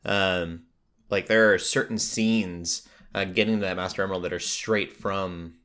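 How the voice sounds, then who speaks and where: A man's voice: deep voice, fairly even intonation, measured pace, a bit bright. A young adult man hosting a casual conversation about movies. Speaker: podcast host.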